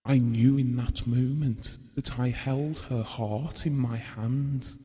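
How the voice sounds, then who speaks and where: An actor playing a gentlemanly, possessive husband who looks back wistfully in an audio drama, measured and reflective. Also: male voice, mid-range voice, fairly even intonation, natural voice. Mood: tender, possessive. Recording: long reverberant hall sound.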